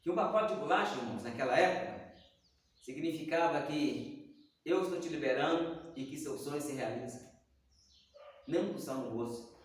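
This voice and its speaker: A man's voice: on the high side, normal speed, long pauses. A man teaching a church Bible lesson, telling stories and acting out the dialogue. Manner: animated storyteller acting out dialogue. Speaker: Sunday school teacher. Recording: echoey hall, distant mic.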